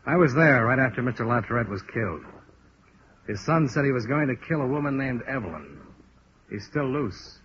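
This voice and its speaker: A man's voice: medium pitch, lively intonation, moderate pace, a bit bright, natural voice. Dogged American radio sleuth male actor, terse and understated, 1950s detective serial, hissy vintage sound. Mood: terse, weary. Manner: clipped, hard-boiled, understated. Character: dogged, terse male insurance investigator narrating his case. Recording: muffled, noisy vintage radio recording.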